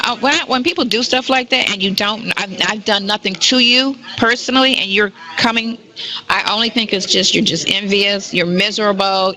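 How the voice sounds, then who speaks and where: Woman, fifties, bright sharp voice, medium pitch, gossipy phone chat, blunt, slangy, quotes others. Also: rough recording. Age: 50s. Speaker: caller on a prison call.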